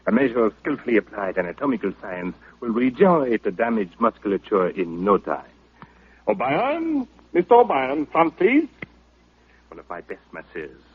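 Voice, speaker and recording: Pompous, swooping health club doctor, husky. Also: male voice, medium pitch, huge pitch swings, moderate pace. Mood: boastful. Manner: pompous, florid, theatrical. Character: pompous health club owner, self-styled doctor, grandly verbose. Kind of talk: 1940s-50s radio acting. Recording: old radio broadcast, very narrow muffled band.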